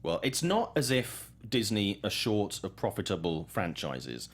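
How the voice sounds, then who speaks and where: A man's voice: lowish voice, very animated, a little slow. A man hosting a film news show in a casual, chatty and enthusiastic way.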